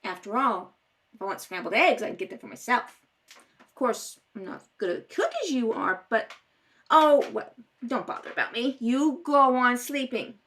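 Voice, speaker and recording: A woman's voice: fairly high pitch, expressive, moderate pace, fairly bright tone. A woman playing a chatty, wakeful wife, wheedling and teasing, in an old-time radio comedy-drama. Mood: coaxing, teasing. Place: home kitchen table. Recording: distant home rehearsal recording.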